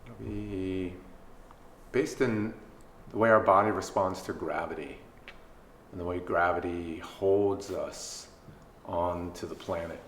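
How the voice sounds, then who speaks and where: A man leading a guided body scan meditation as a mindfulness instructor, speaking gently with unhurried pauses between phrases. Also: sits low. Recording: echoey room, faint background noise.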